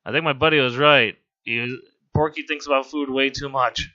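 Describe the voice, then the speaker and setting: A hoarse man with laryngitis giving casual, rambling, amused gameplay commentary as a voice-over. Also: mid-range voice, fairly even intonation, fairly quick, pushed and bright, lo-fi sound. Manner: rambling, amused and self-deprecating. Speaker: game commentator.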